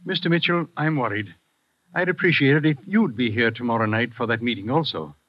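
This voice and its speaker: A man's voice: mid-range voice, brisk, natural voice. An actor playing a nervous, worried businessman in an old radio drama. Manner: anxious, worried. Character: nervous wealthy American businessman. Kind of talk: 1950s radio drama acting. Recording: dull old radio recording.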